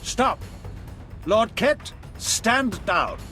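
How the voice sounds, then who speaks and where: An actor playing a genteel, scheming Sith lord in a video game, speaking in a formal, courtly and theatrical way. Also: male voice, high voice, very animated, extremely slow, sharp tone, faint music behind, full character voice. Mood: commanding. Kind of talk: video game cutscene acting.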